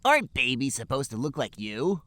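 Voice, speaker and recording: A man's voice: mid-range voice, very animated, moderate pace, bright, forceful tone, no echo, voice pushed up. A man voicing a brash, eager teenage cartoon hedgehog in a fan fiction reading. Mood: curious.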